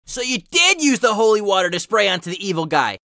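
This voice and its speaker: Animated, bright-voiced man narrating fan fiction. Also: high-pitched, fairly quick, a little hiss or hum. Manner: dramatic, emphatic delivery. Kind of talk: animated storybook-style reading in a cartoon hero character voice with asides.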